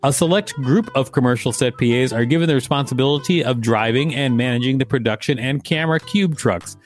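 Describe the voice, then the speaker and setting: A man's voice: medium pitch, fairly quick, faint music behind. A man reading an article aloud as a host, with casual asides.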